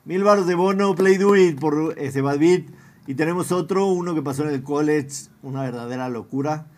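A man's voice: a little high, moderate pace, darkish tone. A man with a Mexican accent hosting a sports show in a casual, slangy panel style.

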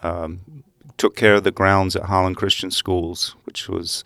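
An older man who grew up in England, a podcast guest talking easily about his life in a relaxed, rambling way. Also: deep voice, unhurried, no echo, studio quality. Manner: easygoing, rambling. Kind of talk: casual conversation.

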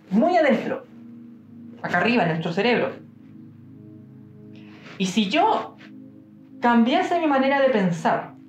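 A young man with a Chilean accent giving an informal devotional talk, musing aloud with pauses and fillers. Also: high voice. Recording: roomy, dull-sounding room recording.

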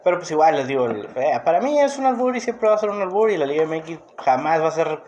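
A man's voice: fairly high pitch, very animated, quick pace, darkish tone, muffled recording. A man with a Mexican accent chatting casually about sports as a podcast co-host, emphatic and opinionated, often repeating himself. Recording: echoey room, noticeable background noise.

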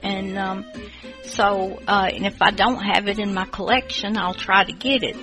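A woman's voice: mid-range voice, steady tone, moderate pace, over music, poor audio. A woman hosting a podcast in a casual, rambling chat full of fillers. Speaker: podcast host.

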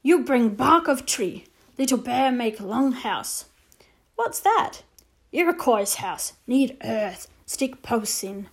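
A woman voicing a calm, proud male warrior who speaks in clipped, broken phrases, slow and deliberate. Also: on the high side. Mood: matter-of-fact.

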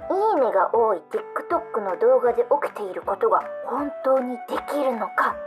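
Woman acting a high-voiced, wholesome young video creator. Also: expressive, moderate pace, natural voice. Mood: cheerful, presenting. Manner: cheerful, neat presenter delivery. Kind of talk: scripted online video introduction in radio drama. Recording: music behind the voice.

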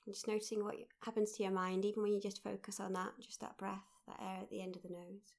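A woman leading a guided mindfulness meditation, speaking gently in short, unhurried phrases with pauses. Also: medium pitch, level tone. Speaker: meditation guide, workshop leader.

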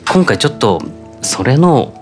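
A man's voice: medium pitch, big pitch swings, a little slow, soft background music. A man co-hosting a radio talk, speaking politely and a little formally. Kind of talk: casual radio chat.

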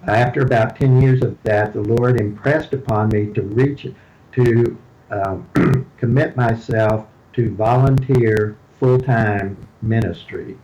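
Older texas guy talking real slow and careful, kinda muffled lo-fi sound. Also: on the low side, a little mellow. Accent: Texan. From Houston, Texas. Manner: deliberate, with many pauses and fillers. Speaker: podcast guest, ministry leader. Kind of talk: slow, measured testimony.

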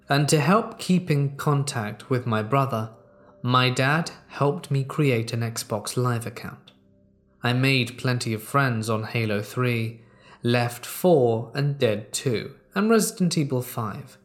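Male narrator, mid-pitched, steady measured read of true horror stories, soft music underneath. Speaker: narrator reading submitted stories.

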